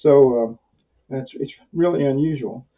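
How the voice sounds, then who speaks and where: Muffled man, folksy and halting. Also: mid-range voice. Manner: folksy, rambling, halting with many pauses. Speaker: amateur radio instructor. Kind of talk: informal tutorial for beginners. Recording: very muffled, dull lo-fi audio.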